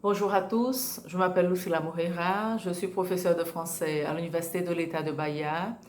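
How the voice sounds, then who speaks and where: A woman's voice: on the low side, fairly even intonation, fairly quick, a little hiss or hum. A Brazilian woman university professor introducing herself in French with a Brazilian accent, passionate, with small hesitations. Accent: Brazilian Portuguese. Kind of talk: self-introduction.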